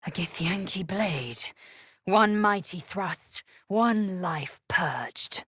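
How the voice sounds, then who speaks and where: A woman playing a proud, fierce githyanki warrior in a video game, with a husky, raspy voice and a clipped, formal, commanding way of talking. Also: on the low side, animated, drawn-out words, a bit bright, no room sound, clean studio sound, exaggerated voice. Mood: stern, resolute. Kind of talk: video game character voice lines.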